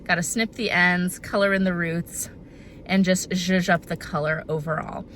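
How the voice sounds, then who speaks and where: A woman's voice: on the low side, steady tone, moderate pace, fairly bright tone. Woman in her thirties, chatty vlogger, slightly congested, faint background noise. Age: 30s. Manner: chatty, bubbly, self-deprecating asides. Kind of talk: casual vlog talk to camera. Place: out and about, car and home.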